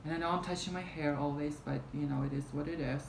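Low, flat-voiced woman rambling in roomy room. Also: quick pace, vocal fry. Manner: rambling, many fillers and restarts. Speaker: vlogger. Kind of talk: casual storytime vlog. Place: small room at home, indoors, fan running. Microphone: camera in front at arm's length. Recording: roomy with fan noise behind. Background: fan hum.

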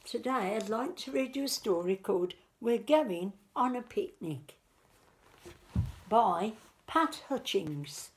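A woman's voice: on the high side, expressive, slow pace. A woman in her fifties telling a picture-book story as a nursery teacher, explaining simply to small children. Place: small living room, indoors.

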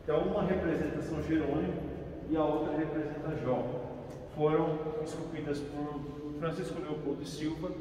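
A man's voice: a little high, steady tone, fairly quick, mellow. Brazilian guy giving a tour, explaining stuff, far from the mic in a big reverby stone space. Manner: explanatory, often checks with "né". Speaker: tour guide. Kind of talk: informal guided tour explanation. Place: large reverberant crypt indoors. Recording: very echoey stone crypt, distant, faint noise.

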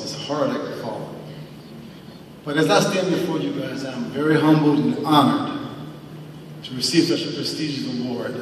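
A man's voice: medium pitch, slight background noise. A middle-aged man giving an earnest acceptance speech at a podium in a large banquet hall, heard from the audience. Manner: earnest, slow emphatic phrasing with thanks and faith. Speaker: hall of fame inductee. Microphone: podium mic, recorded from far in the audience. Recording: echoey hall audio from far off.

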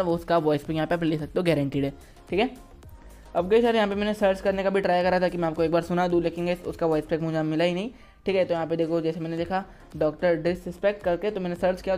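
Young dude doing a mobile game explainer, talks really quick straight to viewers, bg music. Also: male voice, high voice, darkish tone, smooth. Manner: repetitive verbal tags, addressing viewers directly. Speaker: gaming video host. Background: background music.

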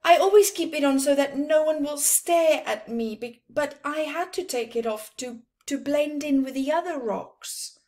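A woman voicing a self-conscious, easily flustered male camel in a children's story, halting and plaintive. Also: high voice, darkish tone, pitched above the performer's own voice. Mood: sheepish, nervous.

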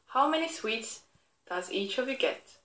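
A woman's voice: a little high, lively intonation, unhurried, fairly bright tone. Woman voicing a friendly teddy bear, echoey room. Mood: encouraging, playful. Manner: encouraging, instructive. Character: friendly clever talking fairy tale teddy bear toy. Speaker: talking toy presenting itself. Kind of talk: scripted product demonstration for children.

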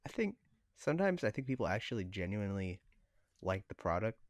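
A man's voice: fairly low pitch, big pitch swings, brisk, no room sound. A man chatting casually as a podcast co-host, with a hesitant, conversational way of talking. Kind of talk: casual chat.

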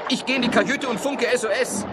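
A man's voice: very high-pitched, fast. An actor playing a rugged male yacht skipper in a horror radio play. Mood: alarmed, urgent. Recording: noisy ambience behind the voice. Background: clear ambient noise.

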